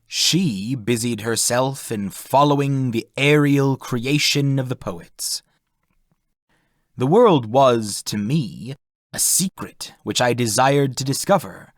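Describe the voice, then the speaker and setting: A man reading literary prose as an audiobook narrator, in a measured, formal manner. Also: mid-range voice, no echo, clean studio sound.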